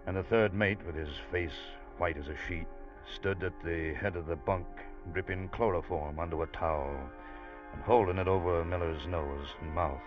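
An actor playing an earnest, anxious ship's officer who narrates a sea tale in a vintage radio drama. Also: male voice, low-pitched, fairly even intonation, moderate pace, music in the background, natural voice. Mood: tense, recounting. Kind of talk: 1940s-50s radio drama narration and acting. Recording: muffled vintage radio recording.

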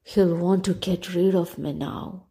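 A woman playing a worn-out old hound in a storybook, gasping and groaning between words. It comes slow and mellow, dropping to a breathy whisper. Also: on the low side, fairly even intonation. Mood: weary. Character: old, weary male dog, out of breath.

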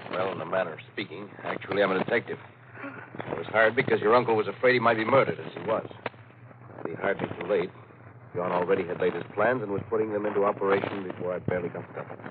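An actor playing a calm, matter-of-fact detective in a 1940s radio thriller, dry and steady. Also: male voice, low voice, quick pace, lightly acted. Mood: dry, explaining. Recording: tinny, noisy old radio recording.

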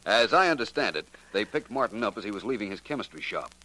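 An American man acting a suave, unruffled private detective in a 1940s radio drama, urbane and coolly deliberate. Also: lowish voice, big pitch swings, bright, forceful tone, a little hiss or hum, poor audio. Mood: cool, probing. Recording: old 1940s radio recording.

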